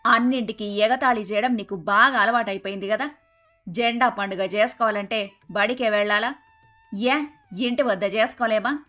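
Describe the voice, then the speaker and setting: A woman's voice: medium pitch, steady tone, normal speed, lightly acted. Woman doing strict mother-in-law in a cartoon story, bossy n scolding, bit of music behind. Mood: stern, scolding. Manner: domineering, sharp-tongued, scolding. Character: bossy, traditional, scolding older mother-in-law and household matriarch. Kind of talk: dramatised moral story dialogue.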